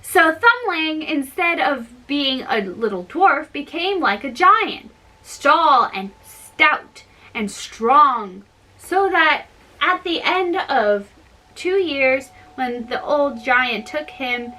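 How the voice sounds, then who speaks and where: A woman storyteller reading fairytales aloud in a halting, deliberate way. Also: high-pitched, lively intonation, bright, forceful tone, low-quality recording.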